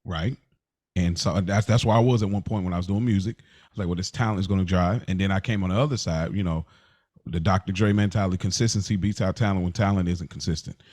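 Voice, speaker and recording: A man's voice: sits low, fast, darkish tone. A man co-hosting a podcast in a casual, conversational style. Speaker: podcast co-host.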